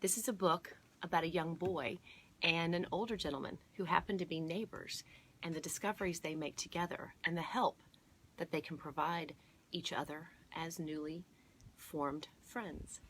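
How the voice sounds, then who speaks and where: A woman hosting a children's storytime, reading aloud and pausing to explain and point things out to her listeners. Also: sits low, fairly even intonation, fairly bright tone, slight background noise. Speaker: storytime host at a museum garden. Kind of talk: read-aloud storytime for children with chatty asides.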